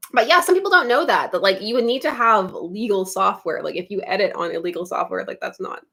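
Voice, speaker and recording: A woman's voice: medium pitch, fairly quick, gravelly, pushed and bright. Giggly Canadian woman chatting on a livestream. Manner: giggly and chatty, laughs often, drifts off topic. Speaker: YouTube livestream host. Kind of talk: casual livestream chat reading viewer comments. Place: home studio set.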